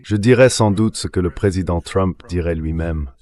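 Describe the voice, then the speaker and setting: Low-voiced synthetic male commentator. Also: normal speed, a little mellow. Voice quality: AI-generated voice reading a translation. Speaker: political commentator interviewed as a guest. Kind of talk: translated voice-over of interview commentary.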